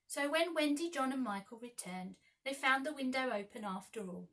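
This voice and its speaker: A woman narrating a children's story expressively, with dramatic, measured phrasing. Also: sits high, expressive, a bit bright. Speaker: storyteller, school staff reader.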